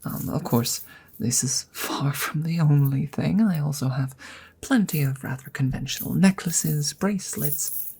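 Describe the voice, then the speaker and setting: A woman plays a courteous, awkward she-dragon in an intimate ASMR roleplay. Her voice is lowish and mellow with a gravelly creak, often thinning to a whisper. She picks her words haltingly and formally, shy and smitten. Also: heavily acted. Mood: coaxing, shy. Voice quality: husky, breathy, often slipping into whispers. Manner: hesitant, halting, formal and polite.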